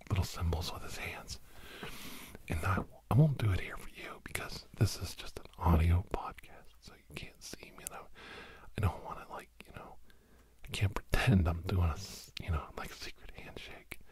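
Low whispering middle-aged man, secretive ASMR. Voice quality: breathy whisper breaking into low murmur. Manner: secretive, halting, rambling, repeats words. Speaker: podcast host. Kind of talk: whispered ASMR monologue, improvised conspiracy rambling.

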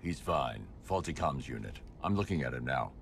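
A man playing a grim, stoic masked vigilante in a video game, speaking in terse, controlled, gravelly lines. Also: animated, moderate pace, a little hiss or hum, exaggerated voice. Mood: grave, guarded. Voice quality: very deep, gravelly. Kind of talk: video game drama acting. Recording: music behind the voice.

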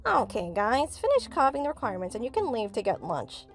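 A woman's voice: a little high, very animated, brisk, soft background music. A woman voicing a stern, sarcastic female school teacher, formal and dry, in a comic dub. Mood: brisk.

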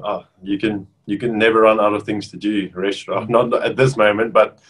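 Man, South African accent, Afrikaans native, low-pitched, relaxed, frequent restarts, video call from home. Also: normal speed, slightly rough, rough recording. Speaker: rugby player guest. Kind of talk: casual interview chat. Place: bedroom at home, indoors.

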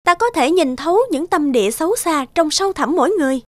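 A woman voicing a proud, misunderstood young dark fairy in a cartoon, speaking in a haughty, dramatic and emphatic way. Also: high voice, animated, fast talker. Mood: haughty, cutting. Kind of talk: animated fairy tale dubbing. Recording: music behind the voice.

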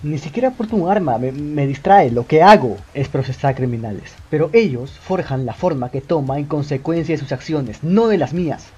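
A man with a Latin American Spanish accent playing a calm, cunning young detective in an amateur film dub, deliberate and accusatory. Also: a little high, husky, dull audio. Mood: intense, menacing. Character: calm, cunning young male detective confronting his suspect. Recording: music under the dialogue. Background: background music.

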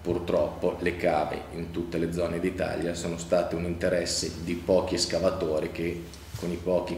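A man's voice: low-pitched, darkish tone. A man, making a formal interview statement. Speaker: local administrator. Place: office indoors. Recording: roomy office, faint background noise.

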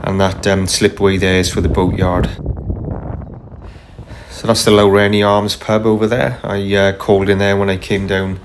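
A man narrating casually as he paddles a kayak on a river, unhurried, with frequent ums and uhs. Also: sits low, flat delivery, a little hiss or hum. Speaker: kayaker vlogger. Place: kayak on a tidal river outdoors. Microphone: camera mounted on the kayak.

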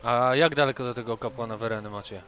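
A man's voice: mid-range voice, fast talker, fairly bright tone. A man playing a tabletop role-playing game, speaking for his character and describing his actions.

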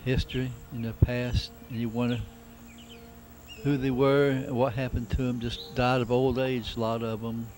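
Flat-toned man narrating, noisy recording. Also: mid-range voice. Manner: unhurried, reflective, plain-spoken. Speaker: amateur travel videographer. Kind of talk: casual travelogue narration. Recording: noisy camcorder sound with outdoor ambience.

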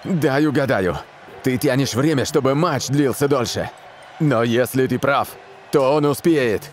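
A man playing a shrewd, steady football team captain in a dubbed cartoon. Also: mid-range voice, a little slow, slight background noise, natural voice. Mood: thoughtful.

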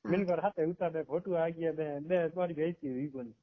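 A man's voice: a little high, fairly even intonation, fairly quick. A man with a Rajasthani Marwari accent arguing on a phone call. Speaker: caller in a recorded phone call. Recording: dull, lo-fi phone call recording.

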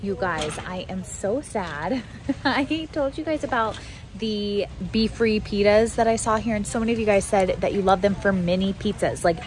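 A woman's voice: mid-range voice, normal speed. A young adult American woman chatting casually and informally to viewers, like a shopping vlogger. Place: large indoor warehouse store. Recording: noisy warehouse store background hum.

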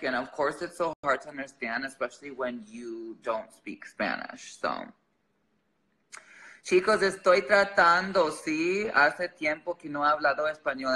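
A man's voice: mid-range voice, sharp tone, low-quality recording. A man from Chicago with an American accent and English as his first language, chatting casually to livestream viewers in sassy, slangy style. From Chicago, United States. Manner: switches between English and Spanish, sassy, slangy. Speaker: livestream host.

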